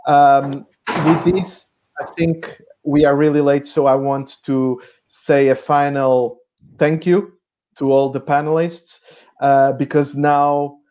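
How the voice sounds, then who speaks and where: A man hosts a virtual summit panel in a hesitant way, often restarting. His voice is mellow and fairly flat. He moves the session along. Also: a little high, slow pace. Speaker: panel moderator.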